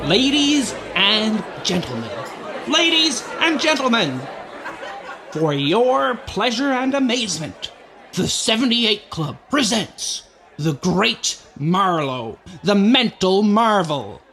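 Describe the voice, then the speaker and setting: A male actor as a brash, bombastic nightclub boss in a radio drama. The voice is high and forceful with big swings in pitch, every phrase pushed out emphatically over crowd noise. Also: sing-song, takes it slowly, exaggerated voice. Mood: grand, theatrical. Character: blustering nightclub boss and emcee, a man. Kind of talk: radio play acting.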